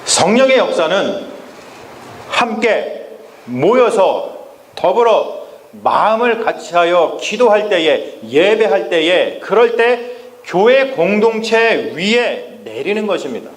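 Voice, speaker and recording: A man's voice: high voice, very animated, slow. Emphatic male pastor in an echoey church. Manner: emphatic preaching, often addresses the congregation. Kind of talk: Sunday sermon. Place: church sanctuary. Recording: echoey church with faint ambience.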